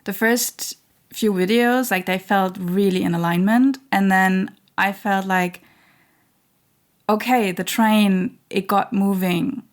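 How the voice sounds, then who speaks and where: A woman hosting her own spiritual channel, talking in a slow, searching way with many fillers and restarts while losing her voice. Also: medium pitch, steady tone. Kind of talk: reflective spiritual monologue.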